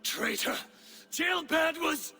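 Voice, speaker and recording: An actor playing a stern, disgusted Viking warrior with a fierce temper in a video game, grim and blunt. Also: male voice, extremely high pitch, expressive, slow pace, pushed and bright, faint music behind, full character voice. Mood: furious. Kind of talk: video game cutscene acting.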